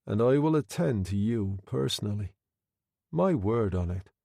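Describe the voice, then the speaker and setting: A man voicing a calm, quietly menacing Irish gentleman who speaks in a soft, conversational tone. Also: fairly low pitch, a little slow, vocal fry, dry recording, clean studio sound, exaggerated voice. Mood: quiet menace.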